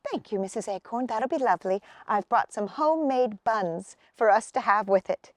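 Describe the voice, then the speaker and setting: A woman's voice: on the high side, lively intonation, normal speed, no room sound, clean studio sound, lightly acted. A woman voicing a kindly, polite hazelnut mother in a storybook, genteel and friendly.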